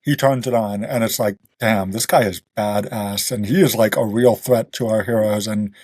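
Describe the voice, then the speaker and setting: A man's voice: fairly low pitch, fairly even intonation, moderate pace, darkish tone, no echo, clean studio sound. A man hosting a casual podcast about books, talking bluntly and emphatically in slangy language and repeating himself for emphasis. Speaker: podcast host.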